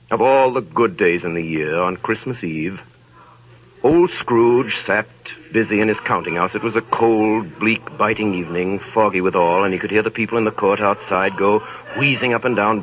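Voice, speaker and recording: A man narrating a radio play in a grand, theatrical manner. His voice is low and measured. The sound is dull 1930s broadcast audio. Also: a bit bright, a little hiss or hum. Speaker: narrator and host.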